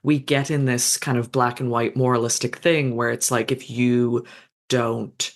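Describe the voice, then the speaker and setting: A person in a casual podcast conversation who talks in an animated, outspoken way and swears now and then. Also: measured pace. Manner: animated, swears, big jumps in pitch. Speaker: podcast participant.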